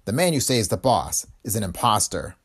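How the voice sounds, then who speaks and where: A man voicing a cold, mysterious caller who makes slow, scornful pronouncements. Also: fairly low pitch, sing-song, slightly rough, heavily acted. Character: cold mysterious male voice on phone.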